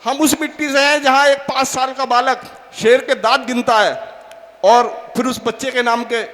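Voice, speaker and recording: A man's voice: very high voice, flat delivery, normal speed, a bit bright. A man from Bijnor hosting a poetry gathering on stage, telling a joke full of quoted voices. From Bijnor, India. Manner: storytelling with many quoted voices. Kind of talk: stage anchoring with a told joke. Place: large auditorium. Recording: long hall reverb.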